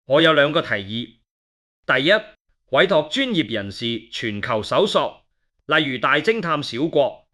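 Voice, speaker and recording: A man's voice: mid-range voice, big pitch swings, unhurried, sharp tone. A man acting an inquisitive, level-headed investigator who narrates and speaks in an audio drama. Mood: deliberating.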